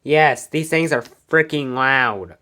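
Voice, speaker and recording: A casual American voice with a mid-range pitch presents a hobby collection. The delivery is informal and rambling, with a plain, matter-of-fact tone, as in a homemade showcase video. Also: slow, heavy background noise. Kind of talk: casual product showcase vlog. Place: indoor room at home.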